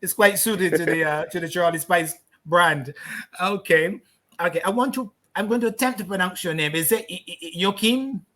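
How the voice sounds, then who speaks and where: Bright, upbeat male talk host. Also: sits high, moderate pace. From Barbados. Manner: upbeat and effusive. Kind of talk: live online talk show.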